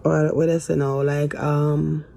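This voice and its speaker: A woman in her fifties talking hesitantly to camera in a casual, rambling way, with sighs and trailing pauses. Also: sits low, level tone, creaky, mellow, slight background noise. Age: 50s. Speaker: vlogger. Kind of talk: casual confessional talk to camera.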